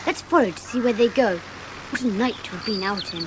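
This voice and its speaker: A woman's voice: a little high, fairly quick, natural voice. A woman playing a reluctant but curious schoolboy in a dramatised audiobook, with old-fashioned, questioning speech. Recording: clear background ambience noise.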